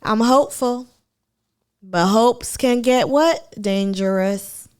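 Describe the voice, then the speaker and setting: A woman's voice: fairly high pitch, slow, a little mellow, clear, resonant tone. A woman from Kenya with a Kenyan accent, chatting casually to viewers on a live stream about a TV show. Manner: chatty, addresses viewers as y'all.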